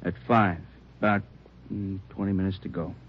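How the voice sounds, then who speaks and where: A man's voice: low voice, level tone, moderate pace, natural voice. An actor playing a matter-of-fact policeman giving clipped reports in an old radio drama. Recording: muffled old radio recording, slight noise.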